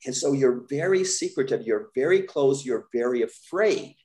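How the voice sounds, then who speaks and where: An American man, middle-aged, speaking as an interview guest in a measured, candid, reflective way. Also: mid-range voice, expressive, darkish tone. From United States. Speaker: podcast guest. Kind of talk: conversational interview storytelling.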